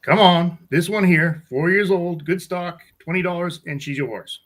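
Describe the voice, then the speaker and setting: A man's voice: sits high, measured pace, a bit bright. A man playing a horse-trading stable hand from an Old West style town in an amateur radio play. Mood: folksy, bargaining.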